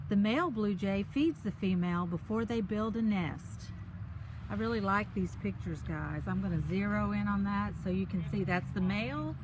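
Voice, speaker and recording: A woman's voice: mid-range voice, noisy background. A woman reading a picture book aloud to children, speaking to them directly in simple, chatty asides. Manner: addresses young listeners directly in simple, encouraging asides. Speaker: children's story reader. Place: outdoors on a park trail.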